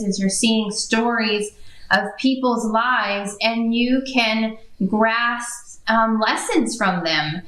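High-voiced woman co-host, distant, echoey. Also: fairly bright tone. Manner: earnest, reads scripture aloud, poses questions. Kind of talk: moderating a Bible study discussion. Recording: echoey room, far from the mic.

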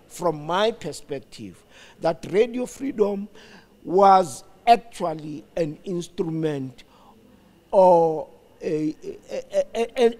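An older South African man giving reflective interview answers in a deliberate, emphatic, explanatory manner. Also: high-pitched, warm tone. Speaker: studio guest, former radio broadcaster.

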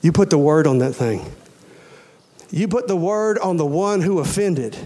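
A male preacher in his forties speaks in a notably high register for a man. His delivery is folksy and emphatic, hammering phrases through repetition. He talks straight to his congregation, questioning and urging them. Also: a little mellow. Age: 40s. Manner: folksy, colloquial, emphatic, repeats phrases for stress; addresses listeners directly with questions and urgings. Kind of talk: sermon to a congregation.

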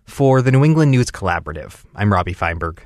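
A man narrating a radio news feature in a measured, even read. His voice is somewhat low and the recording is dry and close, with no room sound. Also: dry recording. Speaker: radio reporter.

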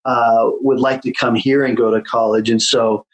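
A man with a medium-pitched voice, speaking in a relaxed conversational way. He tells his story with frequent "uh"s and restarts, as a guest in an interview. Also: moderate pace, poor audio. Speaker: guest, children's home founder.

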